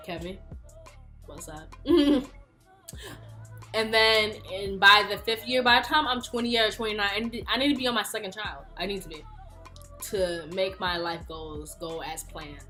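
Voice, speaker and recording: A woman's voice: mid-range voice, measured pace, long pauses, pushed and bright, soft background music. A woman in her early twenties, chatting casually and playfully through a question-and-answer vlog. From Houston, Texas. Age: 20s. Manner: chatty, playful, laughs often. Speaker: YouTuber.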